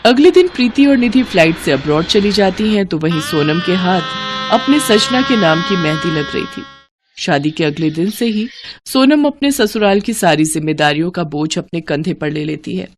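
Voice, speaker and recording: A woman's voice: on the low side, expressive, moderate pace, background noise. A woman storyteller giving scripted narration of a moral family tale.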